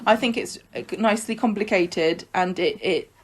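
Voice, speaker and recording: Woman, podcast co-host, casual frank chatter, mid-pitched voice, trails off mid-thought. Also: normal speed. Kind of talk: casual chat about a soap opera.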